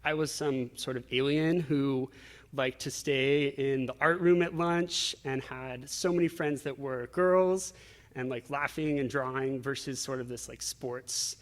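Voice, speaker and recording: A man's voice: mid-range voice, unhurried. Man telling his story, heavy reverb. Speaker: interview guest. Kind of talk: reflective personal storytelling in an interview. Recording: reverberant hall-like echo.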